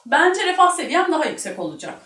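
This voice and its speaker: A woman's voice: high-pitched, very animated, quick pace, fairly bright tone, distant mic. A woman, chatting casually as a guest on a talk show. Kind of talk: casual chat, game-show answers. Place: small indoor studio set with curtain. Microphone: single table microphone at a distance. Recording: roomy, voice away from the mic.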